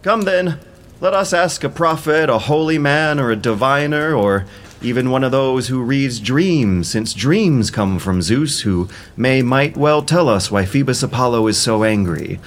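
A man's voice: mid-range voice, lively intonation, measured pace, pitched above the performer's own voice, close to an everyday voice. A man playing an earnest warrior hero addressing an army in an epic audio drama, oratorical and urgent. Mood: earnest, concerned.

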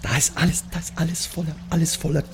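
A man's voice: a little high, level tone, fast, slight background noise. A man playing an improvised tabletop role-playing game, stammering and repeating his words. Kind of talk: improvised in-character role play, casual chat.

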